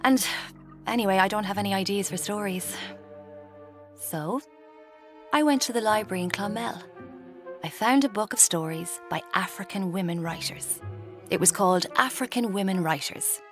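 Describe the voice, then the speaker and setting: A woman's voice: medium pitch, normal speed, close to an everyday voice. Actress as wry young Irish woman over music. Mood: wry, confiding. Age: 20s. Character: restless would-be writer in her early twenties, scheming and wry. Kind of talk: radio drama, first-person confiding narration and dialogue.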